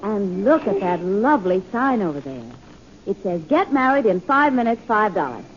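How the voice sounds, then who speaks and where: An actress playing a coy, teasing sweetheart of the detective hero in a 1940s radio drama. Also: female voice, medium pitch, big pitch swings, normal speed, husky. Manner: playful, coy. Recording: muffled, noisy 1940s radio recording.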